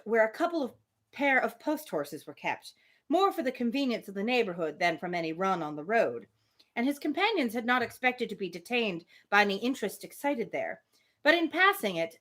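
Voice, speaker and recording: A woman reading a classic novel aloud on a livestream, with expressive phrasing and casual spoken asides. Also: medium pitch. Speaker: livestream book reader.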